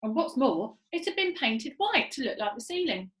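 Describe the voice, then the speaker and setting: A woman storyteller reads aloud to children. Her voice is high and very animated, bringing the narration out expressively. The room is noticeably echoey. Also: normal speed.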